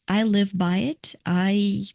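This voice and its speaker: A woman's voice: medium pitch, steady tone, takes it slowly, creaky, a little mellow, dry recording, studio quality. A middle-aged woman from Ukraine, a coach answering interview questions in a reflective, explanatory way with small restarts. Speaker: guest, coach.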